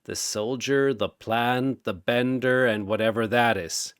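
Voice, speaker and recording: A man's voice: mid-range voice, steady tone, measured pace, clean tone, dry recording, studio quality, natural voice. A man voicing a contemptuous, predatory warrior in a story, menacing and dismissive. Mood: predatory contempt. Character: contemptuous, predatory male warrior with a bloodthirsty appetite.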